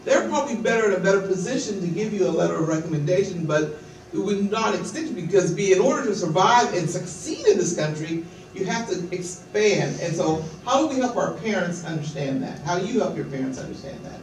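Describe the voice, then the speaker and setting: A woman's voice: fairly low pitch, expressive, brisk, darkish tone. A woman from Nigeria leading a group discussion, asking thoughtful questions. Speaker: presenter, facilitator. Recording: echoey room, far from mic, faint noise.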